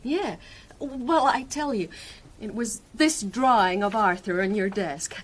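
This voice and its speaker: An actress playing a brassy, defiant criminal's wife in a radio drama, with an American accent and a sardonic, slangy, emotional manner. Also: female voice, mid-range voice, takes it slowly. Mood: shaken.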